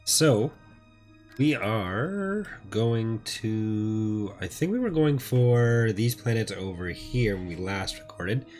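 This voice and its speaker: A man giving casual, rambling, low-key gameplay commentary, his voice still recovering after being mostly lost. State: recovering from voice loss. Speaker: host of a gaming playthrough series.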